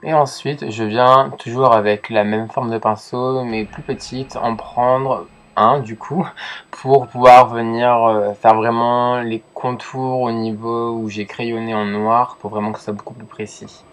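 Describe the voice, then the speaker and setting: A man's voice: mid-range voice, flat delivery, measured pace. A young man with a head cold talking through a casual makeup tutorial, hesitant and full of fillers. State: congested with a cold. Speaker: makeup vlogger.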